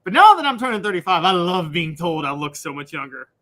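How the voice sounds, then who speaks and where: A man in his thirties co-hosting a sports talk show, earnest and quick to laugh. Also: sits high, very animated, pushed and bright. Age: 30s. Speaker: co-host.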